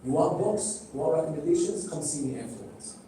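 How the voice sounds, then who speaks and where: A middle-aged man whose first language is Arabic preaches a sermon from some distance off the mic, his voice ringing through a very echoey hall. He sounds high for a man and mellow, and he keeps putting questions to the crowd and repeating phrases to drive them home. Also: very animated, brisk, slight background noise. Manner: direct, questioning the audience, repeating phrases for emphasis. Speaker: speaker at a religious gathering. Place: large hall.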